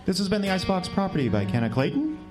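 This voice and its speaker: A man's voice: high-pitched, wildly swooping pitch, rapid-fire. A man announcer reading out the closing credits of a radio play. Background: guitar music.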